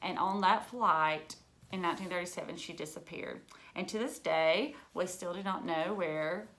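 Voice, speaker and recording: A woman's voice: on the low side, moderate pace, a bit bright. A woman reading aloud to children as a teacher, explaining things and putting questions directly to her young listeners.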